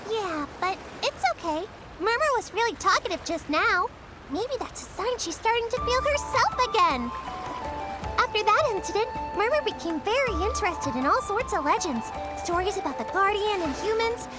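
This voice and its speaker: A woman voicing a small, excitable young village creature in a video game, chatting and telling tales in an animated, earnest way. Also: extremely high pitch, moderate pace. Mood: cheerful, eager. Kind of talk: video game character dialogue. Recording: game music behind the voice.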